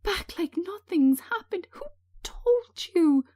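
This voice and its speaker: A woman's voice: very high-pitched, lively intonation, takes it slowly, warm tone, voice pushed up, heavily acted. A woman voicing a traumatised, bitter woman in a screenplay reading, anguished and accusatory. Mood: anguished, accusing. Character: traumatised woman, distraught, bitter, drinking alone.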